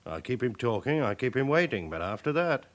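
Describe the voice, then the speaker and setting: An actor playing a British chief inspector in a radio crime drama, speaking in a dry, formal, measured manner. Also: male voice, mid-range voice, sing-song, lightly acted. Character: senior police chief inspector, dryly authoritative.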